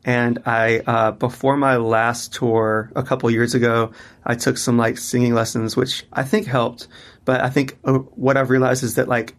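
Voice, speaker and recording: Chatty musician with a flat delivery. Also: male voice, mid-range voice, normal speed. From Mississippi, US. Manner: loose and chatty, many fillers and restarts. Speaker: musician guest. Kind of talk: casual interview conversation.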